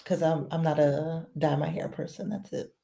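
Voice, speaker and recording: Low, gravelly woman guest. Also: flat delivery, noisy background. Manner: measured and thoughtful, with many fillers. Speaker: guest, political volunteer. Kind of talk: casual interview.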